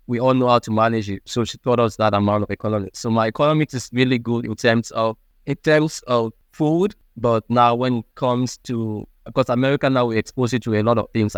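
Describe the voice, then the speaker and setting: A man in his thirties from Cameroon, speaking with a Cameroonian accent and answering interview questions in a halting, earnest way. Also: mid-range voice, smooth, dry recording. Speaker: guest.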